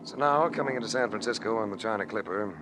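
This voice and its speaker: Low-voiced wry adventurer, played by a man. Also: quick pace, lightly acted. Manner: wry, deadpan, hard-boiled. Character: wry, cool male adventurer-investigator narrating his case. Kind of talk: 1940s radio acting, first-person narration. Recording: noisy old 1940s radio recording.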